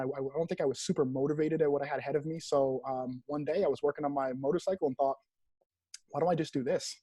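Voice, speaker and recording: A man's voice: on the high side, mellow, dry recording. An American man in his thirties from Rhode Island, a real estate agent telling his story casually as an interview guest. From Rhode Island, United States.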